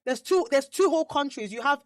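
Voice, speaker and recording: A woman's voice: high-pitched, expressive, fairly quick, no echo, studio quality. A woman from Nigeria co-hosting a podcast, chatting casually and animatedly, often repeating words and trailing off mid-thought. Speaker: podcast co-host. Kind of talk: casual chat.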